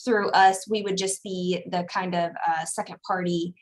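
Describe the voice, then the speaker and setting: A woman's voice: on the low side, fairly even intonation, moderate pace. A woman working for an equipment program, speaking in conversational question and answer. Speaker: state equipment program staffer.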